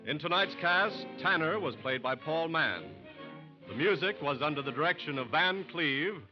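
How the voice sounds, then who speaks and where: Formal American 1940s radio announcer, muffled recording. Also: male voice, a little high, animated, normal speed, music in the background. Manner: formal, emphatic. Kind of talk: 1940s radio announcing, scripted sponsor message.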